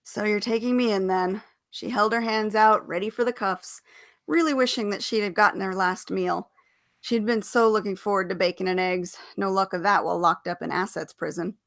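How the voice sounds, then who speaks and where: A woman reading a story aloud as an expressive narrator. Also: medium pitch, moderate pace, vocal fry.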